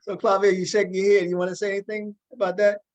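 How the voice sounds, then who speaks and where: A man with a slightly high voice works as a talk show host, putting questions casually and conversationally. He tends to double back on words and reword a question midway. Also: little pitch movement. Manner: halting, repeats words and rephrases questions.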